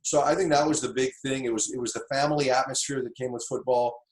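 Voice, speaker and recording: A man's voice: mid-range voice, fairly even intonation, fast. Reflective middle-aged man in a roomy space. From Tanzania. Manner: reflective, many fillers and restarts. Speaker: football coach, interview guest. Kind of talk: casual interview storytelling.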